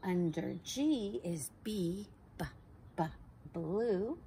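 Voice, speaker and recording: A woman in her forties reads a children's picture book aloud on an outdoor patio. Her delivery is unhurried and very animated, rising and falling in a sing-song way as she sounds out letters and gasps for effect. A faint hum of outdoor air sits under her voice. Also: medium pitch. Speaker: storytime reader for children. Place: backyard patio outdoors by a wooden fence.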